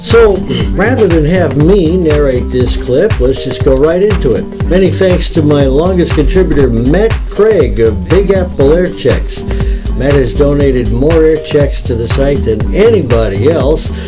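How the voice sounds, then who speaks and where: A man with a slightly high voice introduces archive radio recordings as the host. His narration is presented over clearly audible music. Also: lively intonation, a little slow.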